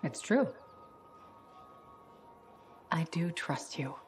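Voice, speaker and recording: Low-voiced, guarded woman agent. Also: expressive, very slow, faint music behind, full character voice. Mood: guarded, sincere. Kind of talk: video game voice acting.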